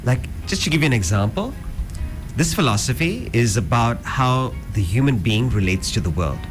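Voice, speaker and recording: A middle-aged man raised in South Africa talks as a radio guest, laying out ideas in a calm, measured way. His voice sits at an ordinary pitch, and clear background music runs under the studio talk. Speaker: guest speaker, Vedanta teacher. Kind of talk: radio interview.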